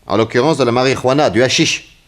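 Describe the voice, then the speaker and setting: An actor playing a stern, shrewd investigator who questions insistently and sardonically in a 1960s French radio crime drama. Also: male voice, mid-range voice, fast talker, natural voice. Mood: grave accusation. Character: stern, shrewd male investigator grilling a young suspect.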